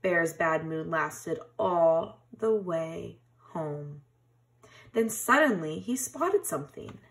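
A woman reading a children's picture book aloud in an expressive, animated storytelling manner, like a teacher. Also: deep voice, slow.

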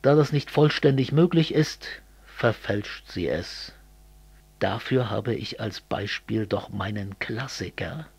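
Slow, husky-voiced East German man. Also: on the low side, darkish tone, no echo. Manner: didactic, deliberate. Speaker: speaker in a two-man political audio dialogue. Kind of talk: scripted political dialogue.

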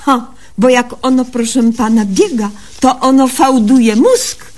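Woman as naive young village doctor for radio comedy: high-pitched, unhurried, self-assured, theatrically learned, folksy grammar. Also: a little mellow, close to an everyday voice. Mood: confidently explaining. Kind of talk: comic radio sketch acting and narration.

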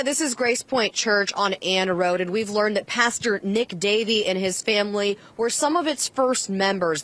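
A young woman reports live for TV news from outdoors, speaking into a handheld mic. Her voice has a middle pitch and a scripted reporter's delivery. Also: fairly bright tone. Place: outdoors on a street at night. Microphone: handheld microphone.

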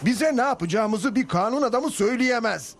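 A man's voice: very high-pitched, lively intonation, normal speed, over music. A man voicing a timid, evasive frontier townsman in a dubbed cartoon, speaking cautiously. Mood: wary.

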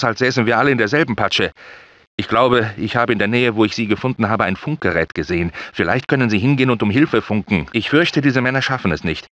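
A man's voice: fairly bright tone, no echo, natural voice. An actor playing an anxious, well-spoken male scientist in a dubbed video game, polite and earnest. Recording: dull low-fidelity game audio.

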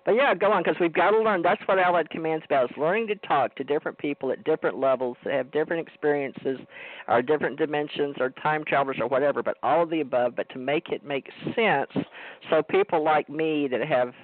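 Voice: mid-range voice, fast talker, no room sound, narrow, tinny audio. A man hosting a casual talk show, rambling and interrupting himself as he asks a guest questions. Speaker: host.

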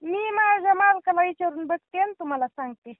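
High-voiced woman on a tinny phone line. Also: expressive, a little fast, no echo. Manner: amused, suspicious, rustic. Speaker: prank call target. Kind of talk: phone conversation. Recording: tinny phone call recording.

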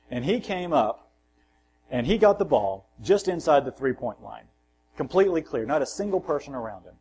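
A man preaching a sermon in a church, at an ordinary middle pitch. He reads scripture with rising force and drops softly at the ends of his phrases. A faint hum sits under the recording. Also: low-quality recording. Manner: self-deprecating asides and personal anecdotes. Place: church sanctuary.

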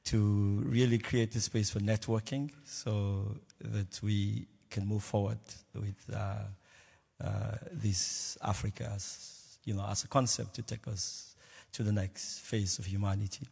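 A man on a panel introducing himself earnestly, with many fillers and pauses. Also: lowish voice, flat delivery, vocal fry, a little mellow, husky. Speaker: panelist, institute head. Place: large lecture hall stage. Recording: reverberant large hall.

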